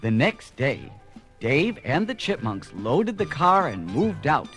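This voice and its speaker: A man's voice: a little high, big pitch swings, slow, over music. A man narrating a scripted children's story.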